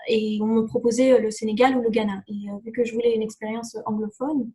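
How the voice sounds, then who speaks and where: A woman's voice: fairly high pitch, flat delivery, fast talker, dark tone. A young woman whose first language is English, answering interview questions casually with many fillers and restarts. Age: young adult. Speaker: exchange student guest.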